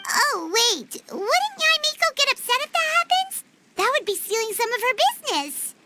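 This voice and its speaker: A woman voicing a small, excitable, childlike fairy companion in a video game, squeaky and exclamatory. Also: normal speed, background noise. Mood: curious. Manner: refers to self in third person, exclamatory. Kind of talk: video game character acting.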